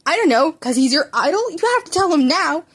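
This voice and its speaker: A woman's voice: high-pitched, big pitch swings, a little fast, fairly bright tone, voice pushed up. A woman voicing a brash, irritable teenage boy with a blunt, scornful manner in a fan fiction audiobook. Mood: annoyed.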